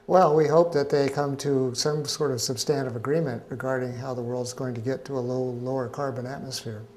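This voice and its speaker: A middle-aged man, a scientist being interviewed at a conference pavilion, explaining in a measured way with frequent hesitations. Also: medium pitch, steady tone, a little mellow, a little hiss or hum. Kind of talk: interview answers.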